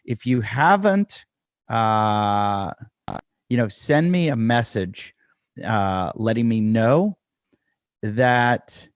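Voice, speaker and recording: A man in a dry, close-miked room hosts a casual podcast in a lowish voice. He rambles, jokes at his own expense and leans on fillers. It sounds like an unscripted chat to his listeners. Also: animated, very slow, pauses often, dry recording. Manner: rambling, self-deprecating, with frequent fillers. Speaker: podcast host.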